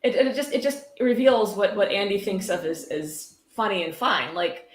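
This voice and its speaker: A woman's voice: medium pitch, expressive, moderate pace. Female livestream host, mid-range voice, casual and sardonic commentary, echoey room sound. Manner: wry, sardonic, casually sweary. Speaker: host of a live-streamed reading. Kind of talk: casual commentary while reading aloud.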